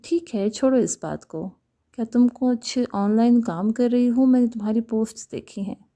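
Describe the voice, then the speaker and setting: A woman voicing a persistent young male suitor in a romantic story, tentative and gently pleading. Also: a little high, moderate pace, natural voice. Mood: coaxing.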